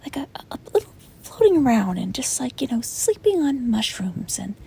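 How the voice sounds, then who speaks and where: Woman playing a whispering, hesitant, gentle demon girl. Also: a little high, big pitch swings, normal speed, full character voice. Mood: nervous, wistful. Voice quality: breathy whisper. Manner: hesitant, rambling, soft-spoken. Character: gentle, apologetic, reluctant young female sleep paralysis demon. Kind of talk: whispered ASMR roleplay. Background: rain and wind.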